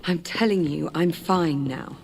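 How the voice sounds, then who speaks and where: A woman's voice: lowish voice, a little slow, a little mellow, a little hiss or hum. An actress playing a headstrong young lady knight in a video game, poised and wry. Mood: insistent. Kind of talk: dramatic game cutscene acting.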